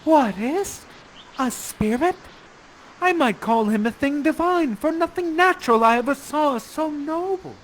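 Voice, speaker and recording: Guy doing a wide-eyed young shakespeare heroine, pitched way up, earnest, some room noise. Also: big pitch swings, moderate pace, few pauses, a bit bright, exaggerated voice, rough recording. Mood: wonderstruck. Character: wonderstruck young sheltered woman, Shakespearean heroine. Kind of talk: demonstrated Shakespearean stage lines.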